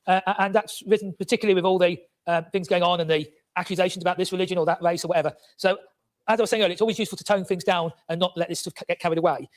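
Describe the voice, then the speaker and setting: High-voiced man councillor talking in a rush. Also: fairly even intonation. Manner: earnest, halting with many fillers. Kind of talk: formal speech in a council meeting.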